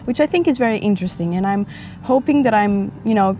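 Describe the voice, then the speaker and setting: A woman in her twenties from Portugal, chatting frankly in a casual vlog, her voice a bit raspy from a dry throat, recorded outdoors near a highway. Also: lively intonation, fairly quick, dark tone. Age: 20s. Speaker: vlogger. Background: passing motorcycles and traffic.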